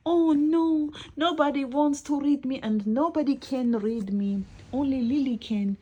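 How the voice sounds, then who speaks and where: A woman's voice: on the high side, animated, moderate pace, warm tone, highs cut off, pitched above the performer's own voice, natural voice. Woman voicing a pleading, plaintive little storybook book. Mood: distraught. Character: sad, desperate storybook book pleading to be read.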